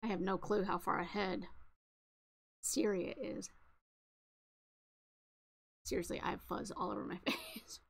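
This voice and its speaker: A woman around forty with a raspy, fairly low voice, hosting a casual craft livestream and chatting with viewers in a rambling way with lots of ums. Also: fairly even intonation, unhurried, pauses often. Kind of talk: casual live chat answering viewers.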